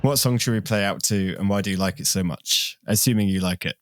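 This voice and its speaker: A man's voice: lowish voice, a little fast, no room sound, clean studio sound, faint music behind. A man hosting a podcast, asking casual interview questions and delivering informal sign-offs. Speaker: podcast host.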